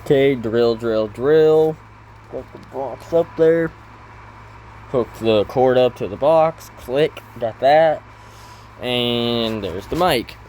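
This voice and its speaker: A man giving casual role-play gameplay commentary in a halting way, thinking aloud as he hosts. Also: medium pitch, darkish tone, a little hiss or hum, low-quality recording. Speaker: gaming video host.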